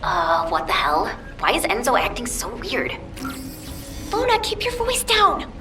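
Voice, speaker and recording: A young female fighter with hugely swinging intonation and an unhurried pace. She is irreverent and grudging in game dialogue, with clear music behind her. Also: a little high, huge pitch swings, far from the mic. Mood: irritated.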